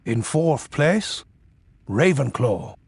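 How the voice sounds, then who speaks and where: An actor playing a kindly, dignified old wizard headmaster in a video game, speaking with ceremony. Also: male voice, mid-range voice, big pitch swings, vocal fry, noisy background, full character voice. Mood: grand announcing. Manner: ceremonious, measured. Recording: faint music behind the voice. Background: faint music.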